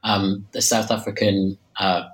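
Male author, low flat voice, slight cold, thoughtful interview answers full of fillers. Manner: measured, thoughtful, many fillers and restarts. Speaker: historian, book author.

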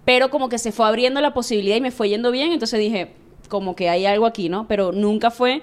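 A Venezuelan woman in her late thirties, a sports journalist telling stories candidly in a relaxed interview. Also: on the high side. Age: 30s. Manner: chatty and candid, rapid storytelling. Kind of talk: casual interview chat.

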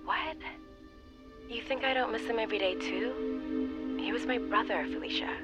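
An actress playing a brash, loving, pushy sister-in-law in an audio drama, American, with an emphatic, teasing manner. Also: female voice, fairly high pitch, moderate pace, over loud music, lightly acted. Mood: tender, firm. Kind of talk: naturalistic audio drama acting.

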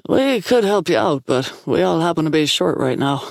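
A woman's voice: sits low, big pitch swings, normal speed, gravelly, a little mellow, no room sound, clean studio sound, full character voice. A woman voicing a brash, irreverent man with a sardonic, blustering way of talking in a story. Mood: defiant. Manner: sardonic, sputtering.

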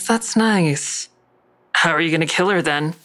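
Protective grown daughter, lowish voice, dry, pointed, guarded, audio drama. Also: female voice, measured pace, fairly bright tone. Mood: suspicious, challenging. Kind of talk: full-cast audio drama acting.

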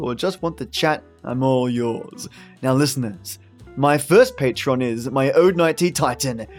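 A man hosts a podcast in a slightly raised voice. He is chatty and matey, delivering scripted remarks with playful exaggeration. Guitar music runs behind him. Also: on the high side. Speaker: podcast host.

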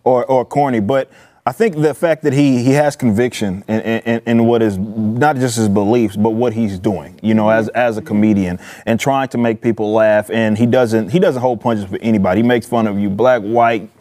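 Emphatic man in casual talk, mellow voice. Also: on the low side, steady tone, moderate pace. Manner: emphatic, repeats phrases, colloquial. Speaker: panel guest.

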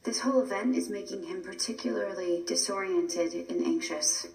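An actress playing a carer explaining things in an audio drama. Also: female voice, medium pitch, moderate pace, gravelly, a little mellow, lightly acted. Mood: concerned. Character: explaining female carer of a disoriented man. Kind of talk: audio fiction drama acting. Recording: distant, echoey room.

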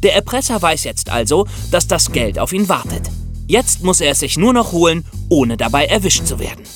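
A man's voice: fairly high pitch, very animated, normal speed, over music. A man co-hosting a true-crime podcast, explaining things in a conversational way. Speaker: podcast co-host.

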